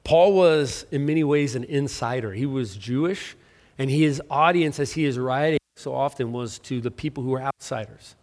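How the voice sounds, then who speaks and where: A middle-aged man preaches in a loose, conversational way, joking at his own expense and restarting his sentences often. His voice sits at an ordinary middle pitch. The sound carries the long reverb of a large hall. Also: normal speed, darkish tone. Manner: conversational, self-deprecating, many restarts and fillers. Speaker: pastor. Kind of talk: sermon.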